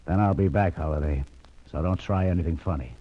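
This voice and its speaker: An actor playing a gruff, suspicious police lieutenant with a brusque, bossy, interrogating manner in 1940s radio style. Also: male voice, deep voice, brisk. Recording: dull vintage radio recording.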